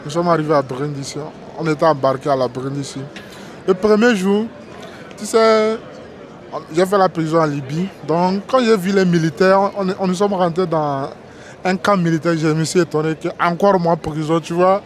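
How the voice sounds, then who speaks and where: A man from Togo, interviewed outdoors, tells his story haltingly with many restarts and keeps asking "tu vois, non?". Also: fairly high pitch. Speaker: interview guest, migrant worker. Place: outdoors on a busy street.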